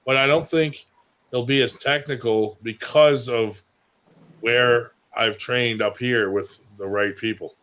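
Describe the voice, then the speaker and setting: A man's voice: mid-range voice, fairly even intonation, slow, fairly bright tone. A middle-aged man in a casual, rambling chat, swearing freely as he talks through his technique. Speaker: arm wrestler on a livestream show.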